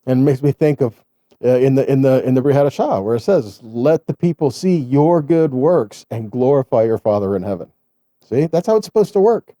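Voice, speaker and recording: A man's voice: medium pitch, expressive, a little fast, mellow, no room sound, clean studio sound. A man giving a sermon as a religious teacher, reading scripture and explaining it in a conversational way, checking in with his listeners.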